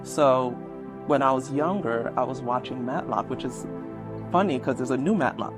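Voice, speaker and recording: Woman, forties, from New York, animated personal storytelling, interview guest, clear music behind the voice. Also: a bass voice, normal speed. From Brooklyn, New York, USA. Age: 40s. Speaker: interview guest, lawyer and law professor.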